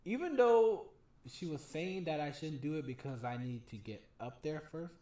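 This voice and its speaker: A man's voice: a little high, animated, normal speed, dark tone, echoey room, a little hiss or hum. Man with a lisp, rambling comic. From California. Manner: rambling, profane, laughs often. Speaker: podcast guest, stand-up comic. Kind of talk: casual podcast chat.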